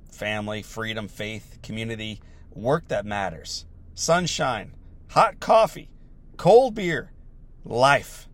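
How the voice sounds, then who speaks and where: A slightly hoarse man, still recovering from laryngitis, giving an earnest, reflective motivational talk and repeating key phrases for emphasis. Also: medium pitch.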